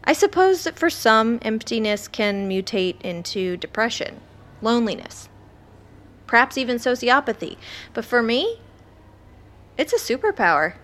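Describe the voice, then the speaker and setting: A woman's voice: fairly high pitch, very animated. Intense woman doctor recounting horror. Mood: cool resolve. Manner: intense, deliberate storytelling with dramatic emphasis. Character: ambitious woman ER doctor recounting terror, cold and self-possessed. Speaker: actor in an audio drama. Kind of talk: dramatic first-person horror monologue. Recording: loud music bed under the voice.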